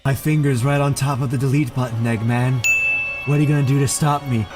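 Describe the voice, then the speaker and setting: A man's voice: mid-range voice, fairly even intonation, fairly quick, lightly acted. A man playing a brazen, menacing cartoon antihero in an improvised comedy dub. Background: music.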